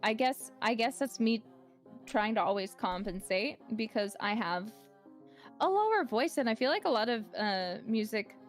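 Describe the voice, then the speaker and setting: A woman's voice: on the high side, lively intonation, normal speed. Effusive young woman guest, rambling. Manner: warm, effusive, rambling with restarts. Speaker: guest singer. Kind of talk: casual chat. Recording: faint music behind the voice.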